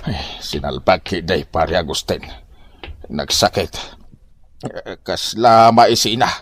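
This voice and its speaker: A man's voice: deep voice, animated, slow pace, dry recording, heavily acted. A man playing a grumbling, put-upon old Filipino husband with an Ilocano accent in a broad comic drama. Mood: whining. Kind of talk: broad comic radio-style drama acting.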